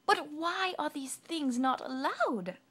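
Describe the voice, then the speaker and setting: Little girl heroine, voiced by a woman, high, sunny, smiling, chirpy, storybook. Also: lively intonation, slow, sharp tone, heavily acted. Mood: sweet, curious.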